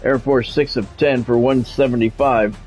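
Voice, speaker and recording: A man's voice: mid-range voice, fairly even intonation, moderate pace, a little mellow, faint music behind. A man doing live play-by-play of a football video game, mixed with blunt, salty, conversational asides. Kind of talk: live sports play-by-play commentary mixed with casual chat.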